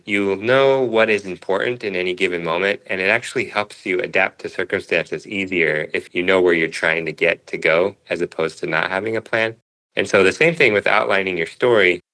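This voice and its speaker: A man's voice: low voice, sharp tone, rough recording. A man speaking as an interview guest, a cartoonist explaining his craft in a thoughtful, conversational way. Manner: thoughtful, explains through analogies.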